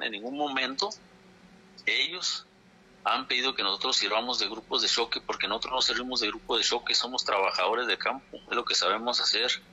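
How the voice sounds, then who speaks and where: A man's voice: mid-range voice, bright, forceful tone, slightly rough. A man from Chihuahua, a dairy farmers' leader, speaking in a phone interview in an indignant, insistent way, repeating his points for emphasis. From Chihuahua, Mexico. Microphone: telephone. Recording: phone line.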